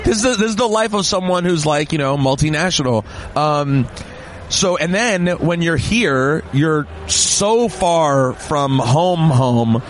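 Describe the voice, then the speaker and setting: A man's voice: fairly high pitch, lively intonation, a little slow, slight background noise. Rambling man hosting an interview. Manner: rambling, restarts questions. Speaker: podcast host. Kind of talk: casual interview questions. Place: busy fan event.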